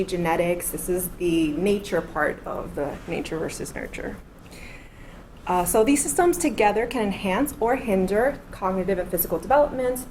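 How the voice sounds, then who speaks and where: A woman's voice: medium pitch, slight background noise, rough recording. A woman in her thirties giving a methodical academic lecture. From Miami, United States. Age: 30s. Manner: explanatory, methodical, walks through points in order. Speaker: postdoctoral researcher. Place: lecture room.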